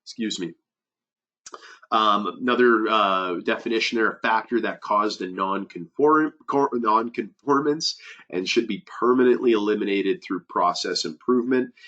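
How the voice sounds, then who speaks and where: Low-voiced safety advisor with a cold. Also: male voice, pushed and bright, muffled recording. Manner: halting, many fillers and restarts. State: nasal from a cold. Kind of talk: webinar presentation.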